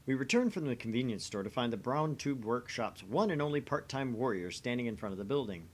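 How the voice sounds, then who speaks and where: A man's voice: medium pitch, animated, brisk. A man hosting and narrating a visual novel read-along, mixing scripted narration with casual asides.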